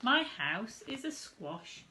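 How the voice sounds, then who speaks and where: A grumbling little old lady from a storybook, as a woman reader puts her on, high and bright. She is exasperated and plaintive, dragging out her words slowly and exclaiming with drama. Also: big pitch swings, slight background noise, exaggerated voice. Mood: pleading, fretful. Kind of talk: children's storybook character reading.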